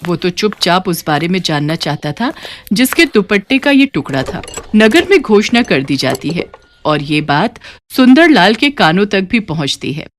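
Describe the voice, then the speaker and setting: A woman narrating a scripted animated moral story in an expressive storytelling manner. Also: lowish voice, moderate pace, slight background noise.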